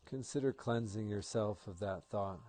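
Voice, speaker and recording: A man leading a guided meditation as an instructor, speaking in a calm, gentle and soothing manner. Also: on the low side, moderate pace, slight background noise.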